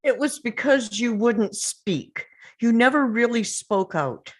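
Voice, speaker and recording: A woman playing an anguished, confrontational woman in a dramatic table read, pressing for answers in a halting, interrupting way. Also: fairly high pitch, expressive. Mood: accusing.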